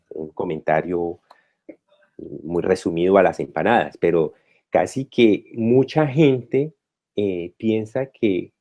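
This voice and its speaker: A man from Colombia speaking as a guest writer, talking reflectively and pausing to restart his thoughts, recorded over a video call. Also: lowish voice, mellow, highs cut off. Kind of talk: conversational talk.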